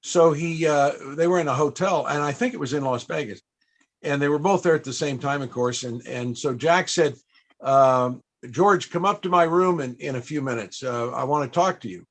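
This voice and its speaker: Male panel host, fairly high voice, conversational, interviewer, storyteller. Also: moderate pace.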